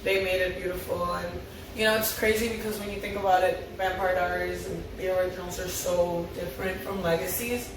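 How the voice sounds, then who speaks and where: A woman's voice: on the low side, little pitch movement, brisk. Woman in a very echoey, noisy room. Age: 20s. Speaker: fan discussing a TV series. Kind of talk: casual chat. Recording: very echoey room, distant mic, background noise.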